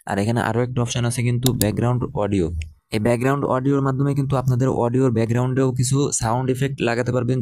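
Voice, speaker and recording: A man presents a step-by-step app tutorial. He talks quickly in a mid-range voice with little melodic movement. His delivery is instructional and repetitive, directed at viewers. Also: mid-range voice, darkish tone, no echo.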